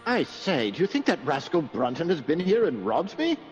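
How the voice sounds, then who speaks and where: Man acting a very animated gentleman. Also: fairly high pitch, moderate pace, faint music behind. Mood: puzzled. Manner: exclamatory, bewildered, old-fashioned gentry speech. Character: excitable, puzzled upper-class male country gentleman. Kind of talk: radio mystery drama acting. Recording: dull, lo-fi sound.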